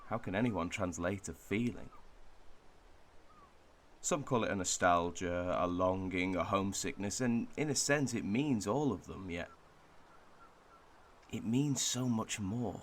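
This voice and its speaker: A man playing a wistful Welshman, delivering a pensive, measured monologue in a radio drama. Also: low voice, slight background noise. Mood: melancholy, conflicted. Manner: pensive, measured, with thoughtful pauses. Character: wistful Welshman reflecting on his home village and heritage.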